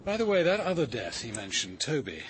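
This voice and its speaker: An actor playing a courteous, quietly probing gentleman in a radio mystery, with measured, articulate delivery and an English accent. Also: medium pitch, huge pitch swings, slight background noise. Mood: grave. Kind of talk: naturalistic radio drama acting. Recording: faint outdoor ambience behind the voice.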